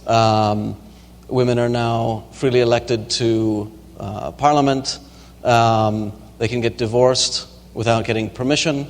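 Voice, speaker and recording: A man's voice: mid-range voice, fairly even intonation, slow. A man lecturing at a university and answering audience questions, with frequent ums and restarts. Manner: hesitant, many ums and restarts. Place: lecture hall. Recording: reverberant lecture hall recording.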